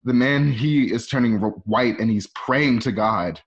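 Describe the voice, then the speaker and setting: A man's voice: medium pitch, a little slow. A man reading out stage directions as the narrator of a radio play, in present-tense screenplay style. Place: at home. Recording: slightly dull home recording.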